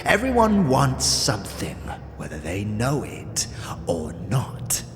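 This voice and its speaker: An actor playing a withered, sly and contemptuous old underworld boss in a fantasy audio drama, creaky and often dropping into breathy, taunting whispers. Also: male voice, medium pitch, wildly swooping pitch, noisy background, full character voice. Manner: theatrical, taunting, drawn-out phrases with street slang. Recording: long hall reverb, music behind.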